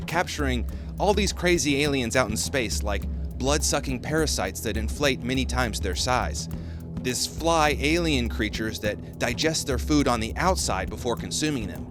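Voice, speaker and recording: A man's voice: medium pitch, expressive, normal speed. Man narrating a video essay over music. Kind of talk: scripted commentary with casual asides.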